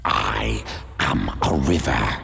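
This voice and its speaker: A man's voice: rumbling low, expressive, slow, heavily acted. A man playing a patient, predatory reptilian alien in a video game, speaking short cryptic sentences in a guttural voice. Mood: cryptic, calm. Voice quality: gravelly, guttural. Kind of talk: video game character acting. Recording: reverberant, noisy track.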